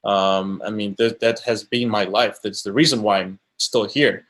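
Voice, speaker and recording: Halting, thoughtful Colombian-born man. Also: on the low side, fairly even intonation, a bit bright. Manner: thoughtful, halting, many restarts. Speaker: guest camera assistant. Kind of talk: casual interview talk.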